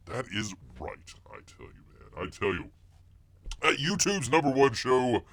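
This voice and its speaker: A man's voice: a little high, pushed and bright, exaggerated voice. A man playing a blustery rambling bear. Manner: rambling, stammering, keeps calling out to people; refers to himself in third person. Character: bumbling blustery male bear, self-styled woods veteran. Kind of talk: comic character monologue.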